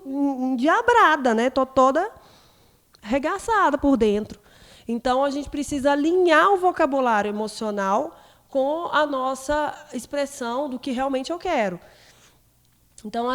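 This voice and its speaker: A woman with a Brazilian Portuguese accent giving a conversational self-development talk during a live stream, with frequent questioning asides and restarted words. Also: fairly high pitch. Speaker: speaker leading a self-development live session.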